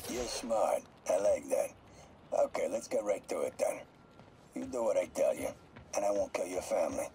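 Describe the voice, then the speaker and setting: A man's voice: on the low side, wildly swooping pitch, measured pace, pauses often, background noise, heavily acted. A man voicing a male mob enforcer who speaks coldly and threateningly. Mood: menacing. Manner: cold, threatening.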